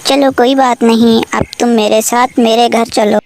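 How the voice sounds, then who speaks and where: A woman doing a kind, bright-voiced sparrow. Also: a little high, fairly even intonation, normal speed, creaky, pitched above the performer's own voice. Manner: kind, reassuring. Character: kind gentle female sparrow.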